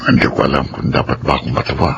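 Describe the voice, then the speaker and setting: A man's voice: a bass voice, steady tone, very fast, gravelly, fairly bright tone, highs cut off, a little hiss or hum, heavily acted. An actor playing a burdened, wary middle-aged man with a Filipino accent and a hesitant manner, in a radio drama. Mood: wary, polite. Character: burdened, wary older stepfather figure, polite and flustered.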